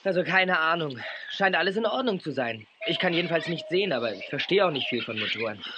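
A man's voice: a little high, expressive, quick pace, dull audio, close to an everyday voice. An actor playing an easygoing, practical young man with a casual manner in a German children's audio drama. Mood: frustrated. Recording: noisy 1980s cassette audio.

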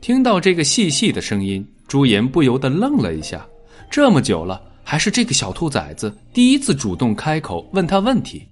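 A man reads a dramatic audiobook with a wide, lively swing in pitch. His voice is slightly husky, and his delivery is measured and expressive. Soft music plays behind him. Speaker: audiobook narrator. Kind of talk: scripted dramatic story narration.

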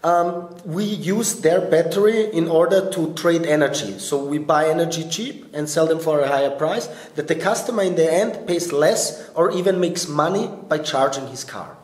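A man talks in English, a for him, with a slightly raised pitch. His answers are earnest and a little halting, full of fillers. The room around him sounds open and echoey, like a press corner at an event. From born in Bosnia, raised in Austria. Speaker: startup business developer, interview guest. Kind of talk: conversational interview answers. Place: event press area in front of a logo backdrop, indoors. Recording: roomy event interview recording.

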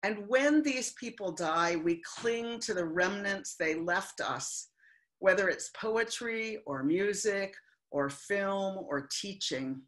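Older lady preaching slow and thoughtful from home, webcam sound. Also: mid-range voice, fairly bright tone. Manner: slow, reflective, heartfelt delivery. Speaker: minister. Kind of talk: sermon. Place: home living room. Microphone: webcam on video call.

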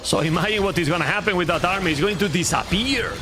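A man in his thirties with a Spanish accent, casting a strategy game live and chatting to viewers, repeating phrases and going a bit hoarse. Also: sits high, fast talker. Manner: animated, repeats phrases, talks to chat. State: losing his voice. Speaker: game caster. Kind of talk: live game commentary to chat. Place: home streaming room. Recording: faint game music behind.

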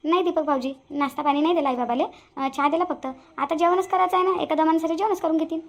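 A woman's voice: high-pitched, fairly even intonation, fast. A woman voicing an earnest, easily hurt young daughter-in-law in a cartoon family drama, with a Varhadi Marathi accent. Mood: caring. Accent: Varhadi (Vidarbha) Marathi.

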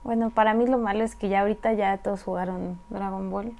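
A woman's voice: mid-range voice, fairly even intonation, fairly quick, a little mellow. A young woman co-hosting a gaming video, chatting casually and teasing her partner playfully. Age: young adult. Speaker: gaming channel co-host. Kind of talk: casual gameplay chat. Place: indoor home recording space. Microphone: lapel mic and headphones. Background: game music.

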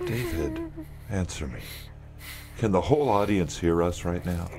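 Uneasy, low-voiced, stammering radio man. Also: very animated, full character voice. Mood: alarmed, bewildered. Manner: halting, stammering, anxious. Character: uneasy, flustered male radio station colleague. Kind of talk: horror audio drama acting.

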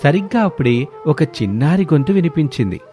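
A man's voice: fairly high pitch, very animated, a little fast, mellow, soft background music. A man narrating a scripted cartoon story in a dramatic storytelling style.

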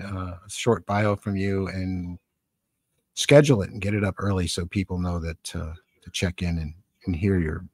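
An American man in his seventies hosting a casual live stream in a rambling, halting, conversational way, close on a studio microphone. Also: low voice, a little mellow, no room sound. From San Francisco, Northern California, USA. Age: 70s. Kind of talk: casual live-stream chat, reading and answering comments. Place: small cluttered home studio indoors. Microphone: close boom-arm studio microphone with headphones.

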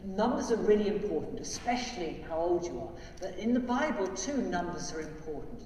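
An older man, a priest, talks gently and simply to children from the lectern. His tone is mellow and dark. The sound is roomy and distant, with a long church echo. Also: high voice, sing-song, fairly quick, a little hiss or hum. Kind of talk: liturgical prayer and children's address. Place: large church. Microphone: lectern mic, far from camera. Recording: echoey church from far off.

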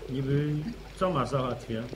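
A man's voice: mid-range voice, a little slow, lightly acted. An actor playing a restless young village man who teases and jokes in a radio drama. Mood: teasing. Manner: jokey, teasing banter. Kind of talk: 1960s radio drama acting. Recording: hissy old radio drama tape.

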